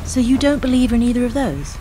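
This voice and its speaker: A woman's voice: a little high, animated, fairly quick, warm tone, slight background noise, lightly acted. A woman playing an earnest, questioning idealist in a radio drama. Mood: probing. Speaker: actor in a radio play. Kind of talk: radio drama acting, dialogue.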